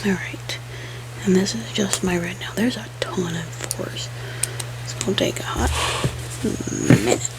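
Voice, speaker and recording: A woman whispering soft, rambling chat as she colors for an ASMR video, sniffling now and then from allergies. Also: on the low side, unhurried, creaky, long pauses, poor audio. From United States. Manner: soft-spoken, rambling, chatty aside. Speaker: ASMR creator.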